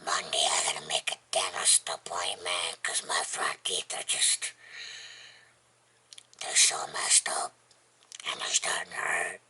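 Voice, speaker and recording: A vlogger of unclear sex speaks in a very low, slow voice, rambling casually to the camera. The delivery is folksy and plainspoken, with a breathy, half-whispered gravelly rasp. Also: steady tone.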